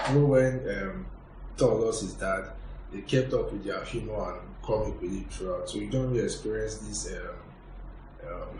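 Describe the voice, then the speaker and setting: A man's voice: lowish voice, a little mellow. A man speaking as a podcast guest, casually giving his opinions in a film review discussion. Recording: very echoey, distant mic, background noise.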